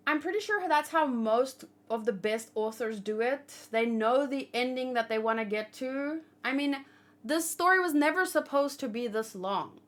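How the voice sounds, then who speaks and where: Female livestream host, on the higher side in pitch, informal discussion style, opinionated and reasoning as she goes. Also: animated, moderate pace. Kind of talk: casual chat, manga discussion and commentary.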